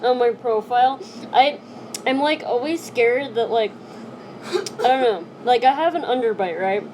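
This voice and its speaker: A young woman hosts a casual live stream from her home, her voice sitting a little high. She rambles and keeps dropping in "like" as she hunts for the words. Steady background noise runs under her voice the whole time. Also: unhurried, poor audio. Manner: rambling, heavy use of "like" fillers.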